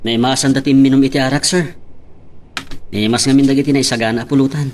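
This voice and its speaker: A man's voice: medium pitch, fairly even intonation, fast, darkish tone, a little hiss or hum, lightly acted. A man with an Ilocano Filipino accent playing a deferential employee reporting to his boss in an audio drama. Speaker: actor in an Ilocano radio-style drama. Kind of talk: amateur audio drama acting.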